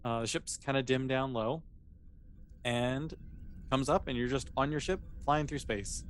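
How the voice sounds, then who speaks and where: A man casually improvising narration as the game master of a tabletop role-playing game, with conversational, halting phrasing. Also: medium pitch, steady tone. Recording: clear background noise.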